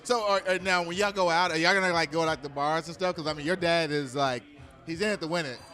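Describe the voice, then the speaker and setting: A man hosting a podcast recorded live at a bar, asking quick teasing questions and laughing often. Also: fairly high pitch, bright, forceful tone. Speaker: podcast host. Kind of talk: casual chat.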